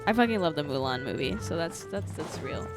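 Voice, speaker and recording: A woman's voice: sits low, lively intonation, fairly quick. A chatty, opinionated woman doing casual, sweary commentary while live streaming a game. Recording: game music behind the voice.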